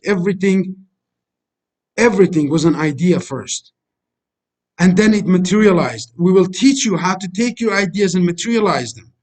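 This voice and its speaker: A middle-aged man whose native language is Kurdish, lecturing as a life coach in a preachy, repetitive way and calling the listeners friends. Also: high voice. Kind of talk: motivational voice-over lecture over slides.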